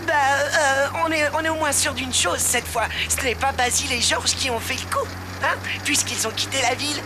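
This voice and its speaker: A woman plays a scheming little male animal in a cartoon. The voice sits high and is exclamatory, tripping into stammers when flustered. Loud music runs behind it. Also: moderate pace, natural voice.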